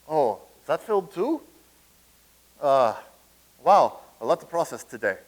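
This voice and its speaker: Brief: man reading as a nervous, stammering soldier in a comedy reading, voice pitched a little high. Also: big pitch swings, slow, rough recording. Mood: flustered. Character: flustered male soldier from a past war.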